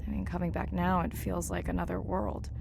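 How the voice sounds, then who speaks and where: A woman playing a thoughtful, earnest deep-sea scientist in a game, speaking naturally and reflectively. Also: expressive, a little fast, gravelly, darkish tone, a little hiss or hum. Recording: music under the voice.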